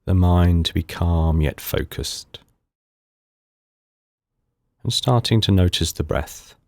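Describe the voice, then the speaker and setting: A man leading a guided meditation in calm, unhurried, soothing short phrases with pauses between them. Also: deep voice, gravelly, dry recording, clean studio sound. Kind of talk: guided body scan meditation.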